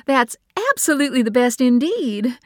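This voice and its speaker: A fluttery, high-strung middle-aged mother, as a woman plays her, pitched well up from the reader's own voice. She gushes and rattles on with big swoops of pitch, in a dry, close sound. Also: big pitch swings, no room sound. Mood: delighted. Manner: gushing, rapid, high-strung.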